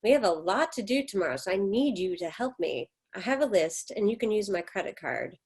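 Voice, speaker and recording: A woman's voice: mid-range voice, very animated, fairly quick, darkish tone, breathy edge. A woman playing a stoic, controlling middle-aged American mother in a play reading, speaking in a firm, insistent way. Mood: brisk, controlled. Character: stoic, controlling 48-year-old American mother, curt and short-tempered.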